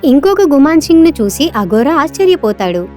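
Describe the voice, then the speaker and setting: High-pitched woman narrating a story. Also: very animated, fairly quick, darkish tone, faint music behind. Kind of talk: scripted children's story narration.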